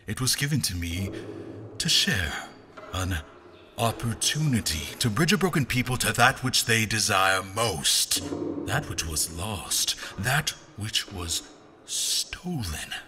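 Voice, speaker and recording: A brooding, bitter lord of a fantasy audio drama, speaking slowly in a fairly low voice. He is theatrical and archaic, halting between grand phrases, over noticeable background noise. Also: animated. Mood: reverent, solemn. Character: brooding, grandiose man, weary and bitter, musing alone.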